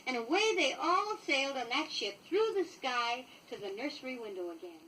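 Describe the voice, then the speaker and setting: An American woman reading a children's story from a script, expressive and clearly paced for young listeners, on a 1960s vinyl record. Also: sits high, moderate pace, far from the mic, slight background noise, poor audio. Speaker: storybook reader.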